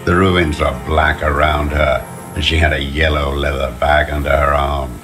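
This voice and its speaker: An actor playing a bitter old communist deserter, slow and contemptuous, in a video game. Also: male voice, extremely low pitch, level tone. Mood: grim, rambling. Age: older. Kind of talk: dramatic video game voice acting. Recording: music under the voice.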